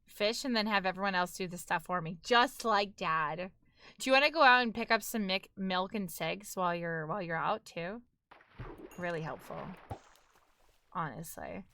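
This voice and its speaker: Bright New York woman streaming. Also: fairly low pitch, moderate pace, dry recording. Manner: chatty, laughs often, talks to chat. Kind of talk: casual live-stream chat while gaming.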